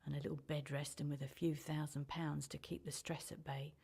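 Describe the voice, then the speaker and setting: A woman acting in a radio drama as a brooding, guilt-ridden older mother confessing bitterly, with a husky, gravelly voice. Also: deep voice, level tone, brisk, a little mellow, heavily acted. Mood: regretful, lonely. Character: older woman, guilt-ridden mother who gave her child away.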